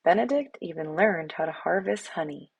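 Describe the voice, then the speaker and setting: A woman's voice: fairly low pitch, animated, normal speed, creaky, a little mellow, slightly rough, dry recording. A woman reading a children's picture book aloud expressively, as a storyteller. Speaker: read-aloud host, storyteller.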